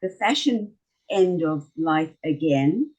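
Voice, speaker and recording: A woman's voice: deep voice, lively intonation, slow, a little mellow, rough recording. A woman with a South African accent hosting a talk show and interviewing a guest with friendly, leading questions.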